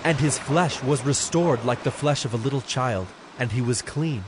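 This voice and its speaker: A man's voice: mid-range voice, normal speed, noisy background. A man giving scripted narration for a dramatized scripture recording. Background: instrumental music.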